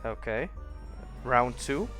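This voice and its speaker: A man's voice: mid-range voice, lively intonation, takes it slowly, a bit bright. A man streaming a game with casual commentary, muttering short reactions to himself. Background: faint game music.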